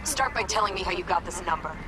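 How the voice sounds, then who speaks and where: A woman's voice: on the low side, animated, fast talker, a loud crowd, close to an everyday voice, low-quality recording. A woman acting as a hard, hot-tempered corporate operative in a video game, curt, commanding and hostile. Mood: curt, suspicious.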